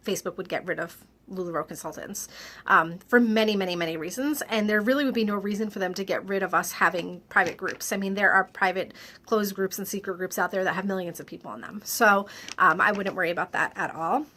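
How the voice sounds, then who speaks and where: Woman with a stuffy nose, bright kinda sharp voice, reads viewer questions and answers casual with lots of ums. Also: medium pitch. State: stuffy, slightly nasal. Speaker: direct-sales clothing consultant. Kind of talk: casual Q&A vlog.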